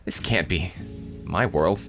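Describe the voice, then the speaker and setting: A man's voice: low-pitched, slow, over music. A man voicing an angsty, self-pitying teenage schoolboy, the overwrought hero of a visual novel. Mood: bewildered, alarmed. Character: angsty, melodramatic teenage anime schoolboy protagonist. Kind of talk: visual novel read-aloud.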